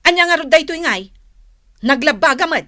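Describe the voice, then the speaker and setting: A woman's voice: high-pitched, lively intonation, brisk, pushed and bright, dry recording, studio quality. A woman playing a jealous, nagging, suspicious wife in a Filipino radio comedy-drama, speaking probingly with a Filipino accent. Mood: suspicious, accusing.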